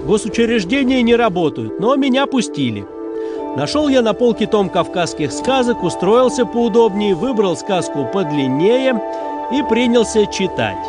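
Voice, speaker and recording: A man's voice: sits high, very animated, moderate pace. A man presenting a travel documentary as reporter, reading scripted narration in a storytelling way. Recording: music bed under the voice. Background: music.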